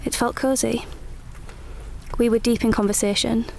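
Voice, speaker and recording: A guarded, capable young Edwardian woman telling her own story in a radio play. Her voice sits a little high, with a measured, literary, intimate delivery. Faint ambient noise runs behind her. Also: fairly even intonation, creaky, a little mellow. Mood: cosy, reflective. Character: young Edwardian woman, independent, wry, guarded preacher's daughter narrating. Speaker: narrator and lead actor. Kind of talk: scripted first-person radio play narration.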